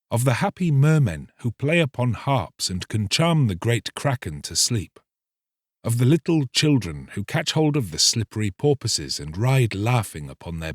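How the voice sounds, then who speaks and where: Expressive male storybook narrator, dry sound. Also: on the low side, dry recording. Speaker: audiobook narrator, actor. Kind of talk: scripted literary storybook narration.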